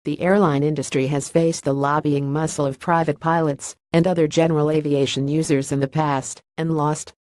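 A synthetic voice reading a news article in an even, uninflected scripted delivery. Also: mid-range voice, moderate pace, few pauses, no echo, studio quality. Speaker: news reader.

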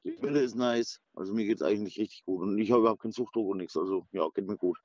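A man's voice: lowish voice, fast, a little mellow, no room sound, highs cut off. A man chatting casually in a slangy, sweary, rambling way. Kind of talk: casual chat, voice messages and phone talk.

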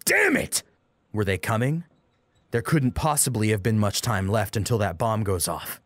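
A man's voice: on the low side, lively intonation, moderate pace, no echo. A man reading a dramatic action story as an audiobook narrator. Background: music under the voice.

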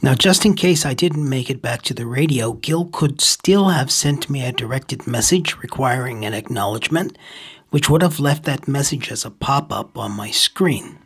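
A man giving scripted instructional narration as the host of a ham radio video, speaking in a measured, explanatory way. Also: medium pitch, expressive, fairly bright tone, breathy edge.

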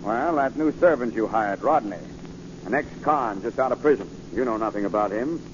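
An actor playing a gruff but good-natured American police commissioner in a 1940s radio drama, blustering along. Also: male voice, mid-range voice, expressive, normal speed, lightly acted. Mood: exasperated. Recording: muffled, noisy 1940s radio recording.

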